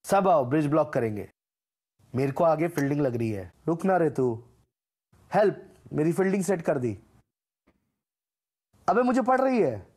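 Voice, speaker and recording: Husky male gamer for short, punchy voice-pack catchphrases, pitched fairly high and very animated. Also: moderate pace, pauses often. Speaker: gaming creator voicing a game voice pack. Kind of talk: short scripted gaming callouts and catchphrases.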